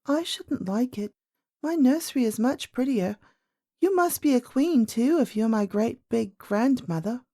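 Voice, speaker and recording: A woman's voice: fairly high pitch, expressive, a little slow, dark tone, dry recording, clean studio sound, voice pushed up. A woman voicing a curious, outspoken little princess in a storybook, eager and childlike, full of questions. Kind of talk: storybook character voice.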